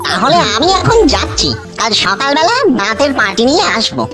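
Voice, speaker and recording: Bumbling young cartoon ghost: high, wildly swooping, stammering, greedy and eager, music behind. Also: female voice, a little fast. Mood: puzzled, scheming. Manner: stammering, excitable. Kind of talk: children's cartoon voice acting.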